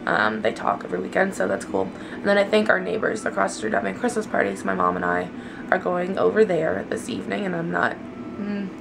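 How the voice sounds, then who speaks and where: A woman's voice: medium pitch, vocal fry, rough recording. A woman in her twenties chatting casually and ramblingly to viewers as a vlogger, filmed at arm's length. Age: 20s. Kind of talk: casual vlog chat to viewers. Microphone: handheld camera at arm's length.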